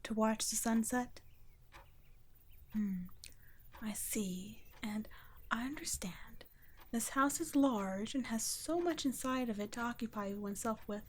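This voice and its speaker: A woman playing a gentle, protective vampire caretaker in an intimate ASMR roleplay, speaking softly and breathily, often nearly whispering. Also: mid-range voice, a little slow, a little hiss or hum, lightly acted. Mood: wistful, confiding. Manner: soft, breathy, tender, often dropping to a whisper.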